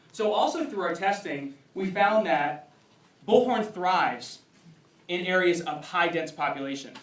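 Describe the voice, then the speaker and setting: A man presenting a pitch to a live audience as a startup founder, in an emphatic stage delivery. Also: a bit bright. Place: indoor event venue, speaking to a crowd. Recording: roomy echoing event hall, distant.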